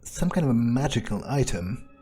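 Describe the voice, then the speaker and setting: A man improvising in-character game commentary as a scheming vampire, musing aloud in a deliberate, self-assured way. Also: medium pitch, sing-song, mellow, slightly rough. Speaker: gamer playing in character. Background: faint game music.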